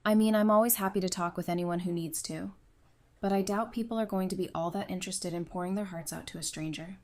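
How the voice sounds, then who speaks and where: A woman's voice: on the low side, fairly even intonation, quick pace, a little mellow, lightly acted. A woman playing a caring but hurt psychiatrist in an audio drama, speaking in a candid, conversational way. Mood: wry, candid. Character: caring psychiatrist woman, weary and hurt, wryly self-deprecating.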